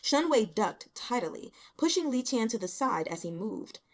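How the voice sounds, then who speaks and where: Woman reading a novel out loud, kinda high voice, really animated storytelling. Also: normal speed. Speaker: audiobook reader. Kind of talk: expressive scripted fiction narration.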